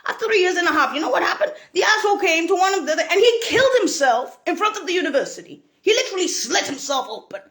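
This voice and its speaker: A woman from Italy, rambling in a profane monologue, laughing and bursting into shouts. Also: very high-pitched, animated, fast, hardly any pauses.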